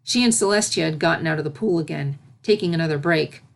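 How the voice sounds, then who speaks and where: A woman's voice: sits low, fast, creaky. A woman reading scripted story narration as the narrator.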